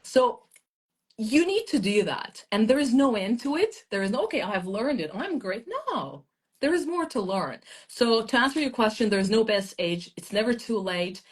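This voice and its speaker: A woman's voice: on the high side, sing-song, normal speed, slightly rough. A woman of around forty whose first language is Azeri, answering interview questions in an emphatic, motivational way. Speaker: guest, language education expert.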